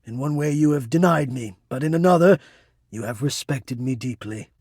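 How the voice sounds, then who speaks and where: A man voicing an anxious, melodramatic, yearning male game character who hides away, with florid, halting, theatrical speech. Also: medium pitch, darkish tone, slightly rough, no echo, clean studio sound. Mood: grateful, wistful. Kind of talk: video game character acting.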